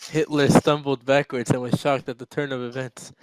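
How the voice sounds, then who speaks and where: A man's voice: mid-range voice, level tone, moderate pace, clean tone, no room sound, low-quality recording. A man reading a story aloud informally, stumbling and amused. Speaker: amateur reader. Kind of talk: casual read-aloud of a story.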